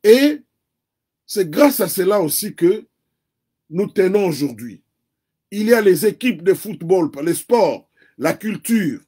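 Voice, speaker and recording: A man from the DR Congo with a Congolese French accent, a native Kikongo speaker, giving earnest, deliberate political commentary as an interview guest. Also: high-pitched, sing-song, dark tone, husky.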